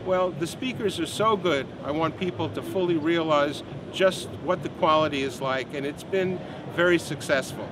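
Higher-pitched older man, noisy show floor. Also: normal speed, hardly any pauses. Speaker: company president, product designer being interviewed. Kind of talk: unscripted product explanation in an interview. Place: busy indoor exhibition booth.

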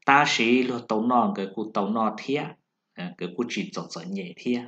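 A man with a fairly low voice talks in a loose, rambling way, full of fillers. His voice-over sounds dull and lo-fi, like it was recorded on a cheap microphone. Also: moderate pace, a bit bright. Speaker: zodiac fortune talker. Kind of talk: casual spoken talk over a still slide.

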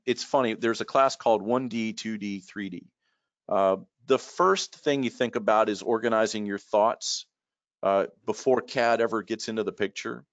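A middle-aged man with a lowish voice speaks over a video call. He wanders through his stories with restarts and fillers. The audio is dry and muffled. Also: no room sound. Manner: rambling, repeats words, many fillers. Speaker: guest expert and trainer. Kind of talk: casual storytelling in a video call. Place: home room.

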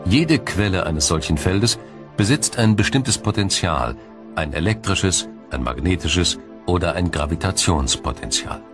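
A man with a deep, low voice narrates a scripted science documentary. His delivery is measured and explanatory. Music plays clearly behind him. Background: background music.

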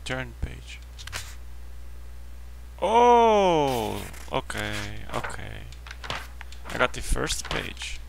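A man's voice: mid-range voice, big pitch swings, very slow, creaky, long pauses, background noise. A man giving casual let's play commentary, talking to himself in short phrases as he plays. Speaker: game streamer. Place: behind: game music.

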